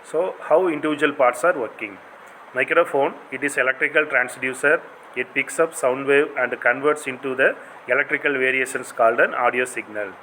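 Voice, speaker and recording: A man with an Indian accent delivers an explanatory lecture in a bright, forceful voice. He sounds didactic, like a college teacher walking students through material. A faint hum of room noise sits behind him. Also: medium pitch, moderate pace, rough recording. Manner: didactic, non-native English phrasing.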